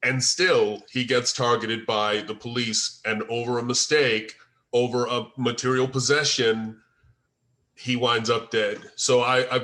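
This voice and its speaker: Bright, forceful man hosting. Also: mid-range voice. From United States. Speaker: host and co-writer of a script table read. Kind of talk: informal group discussion on a video call.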